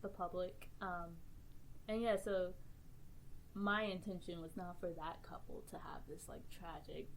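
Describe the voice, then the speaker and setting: A woman's voice: on the low side, steady tone, unhurried, a little hiss or hum, rough recording. A woman who writes for a podcast, talking earnestly in a casual round table, laughing easily and using many fillers.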